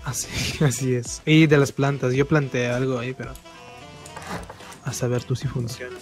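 A man's voice: mid-range voice, steady tone, moderate pace, soft background music. A young man from Ecuador chatting casually over voice chat while playing, laughing often and explaining how the game works. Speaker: co-player.

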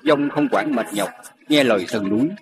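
A man narrating a children's animated fairy tale in a scripted storybook style. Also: medium pitch, normal speed, music in the background.

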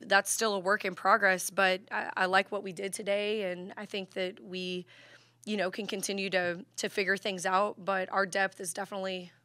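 A woman basketball coach in her thirties answers press questions at a table microphone. Her voice is bright and fairly flat in pitch, with frequent fillers and restarts. The sound is dry and close. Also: normal speed, no room sound. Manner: steady, even delivery with many fillers and restarts. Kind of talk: postgame press conference answers. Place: press conference room at a table.

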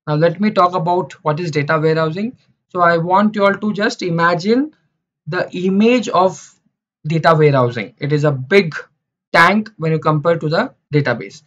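A man's voice: high voice, a bit bright. A man teaching beginners over slides, repeating phrases with many fillers and restarts. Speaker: instructor. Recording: dull lo-fi sound.

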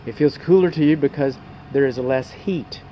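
A man's voice: mid-range voice, moderate pace. A male home inspector filming a walkthrough outdoors beside a house, explaining what he sees in a folksy, digressive way. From North Texas. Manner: folksy, digressive, talks to the viewer. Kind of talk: walkthrough commentary while filming. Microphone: handheld camera mic. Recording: muffled outdoor camera audio with hum.

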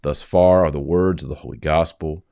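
A man in his forties reading scripture solemnly, with measured, deliberate phrasing. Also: a bass voice, fairly even intonation, warm tone, clear, resonant tone, dry recording. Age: 40s. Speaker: scripture reader. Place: indoor room, seated at a desk.

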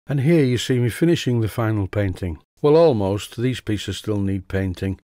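A middle-aged man with a lowish voice explains his workshop restoration step by step. He is chatty and methodical, with a dry sense of humour. The sound is close and dry, with no room echo. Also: expressive, normal speed, mellow. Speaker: workshop presenter. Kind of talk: instructional voice-over narration.

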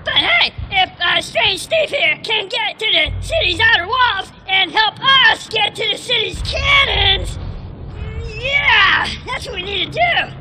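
A man voicing a plucky, anxious little male creature rebel in a video game, talking in excitable slang. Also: very high voice, normal speed, hardly any pauses, a little hiss or hum, exaggerated voice. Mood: worried, urgent. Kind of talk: video game cutscene acting.

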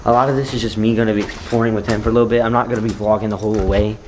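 Young American guy vlogging, low-pitched and slangy. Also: very fast. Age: young adult. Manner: slangy, swearing, excitable. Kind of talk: casual chat while exploring. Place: inside an empty derelict building. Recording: noisy handheld camera audio.

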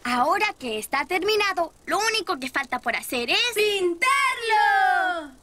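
A woman's voice: very high-pitched, sing-song, a little slow, sharp tone, exaggerated voice. A woman voicing a cheerful, helpful young rabbit boy who encourages and explains in a children's cartoon. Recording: music behind the voice.

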